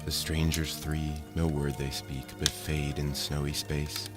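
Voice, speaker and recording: A man reciting a narrative poem in storybook style, with a measured verse delivery. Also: rumbling low, little pitch movement. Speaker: poem reader. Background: music.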